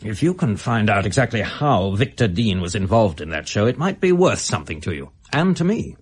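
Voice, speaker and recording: An actor in a radio drama playing a wary, hard-nosed, impatient drug dealer who talks in a blunt, guarded, bargaining way. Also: male voice, fairly low pitch, big pitch swings, brisk, slightly rough.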